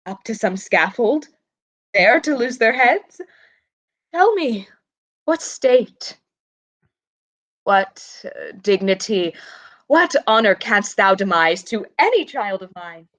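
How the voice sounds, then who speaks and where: A woman's voice: mid-range voice, very animated, slow pace, pauses often, fairly bright tone. A young woman playing a bereaved, scornful queen in a Shakespearean radio play, speaking formal verse with biting sarcasm. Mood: wary scorn. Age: young adult. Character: bereaved mother queen, bitter, scornful and grieving. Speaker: student actor.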